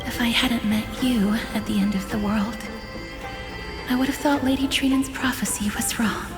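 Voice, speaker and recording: A woman playing a serene, fragile young woman in a game, speaking gently and poetically of fate and parting. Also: on the high side, steady tone, normal speed, close to an everyday voice. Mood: serene, melancholy. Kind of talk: dramatic game voice acting. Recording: echoey reverb with clear music behind.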